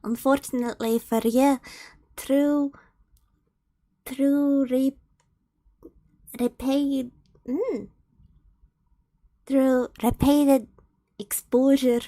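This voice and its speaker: A woman's voice: high voice, fairly even intonation, extremely slow, pauses often, dark tone, no echo. A woman reading aloud the lines of a smug male ogre who taunts his foe. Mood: taunting.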